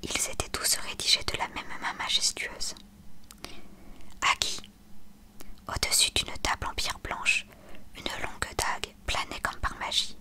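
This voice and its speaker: Whispering woman reading a storybook. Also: moderate pace. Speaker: audiobook reader. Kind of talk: scripted storybook narration.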